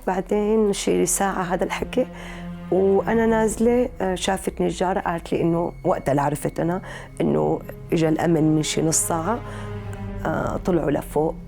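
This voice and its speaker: A woman with a Levantine Arabic accent giving personal testimony, her speech halting and broken by drawn-out pauses. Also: on the low side, gravelly, dark tone, soft background music. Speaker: interviewee.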